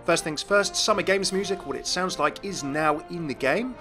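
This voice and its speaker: A man's voice: high voice, normal speed, over music. A man giving chatty, upbeat video game news commentary, speculating aloud as he presents.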